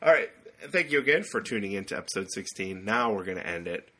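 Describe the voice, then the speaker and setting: Man, lowish pitch, raspy edge, forward bright tone, casual podcast host, loose halting banter. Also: expressive.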